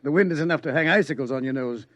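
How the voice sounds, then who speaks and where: A man's voice: a little high, very fast, fairly bright tone, no echo, lightly acted. An actor playing a cynical, wisecracking man in a 1950s radio drama, breezy and sardonic. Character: cynical male newspaperman, wisecracking drinker.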